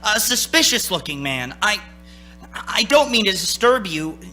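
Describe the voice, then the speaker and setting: A man's voice: high-pitched, very animated, unhurried, pushed and bright, full character voice, low-quality recording. An actor playing a haunted, anxious young man in a radio play, dramatic and nervously stammering. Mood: desperate. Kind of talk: radio play acting, narrating and in scenes. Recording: stage radio play with music.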